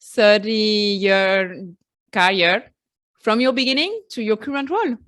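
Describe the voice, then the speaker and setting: A woman's voice: mid-range voice, lively intonation, takes it slowly, a bit bright, no room sound, studio quality. A woman hosting a podcast, reading an upbeat scripted introduction and asking interview questions. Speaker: podcast host.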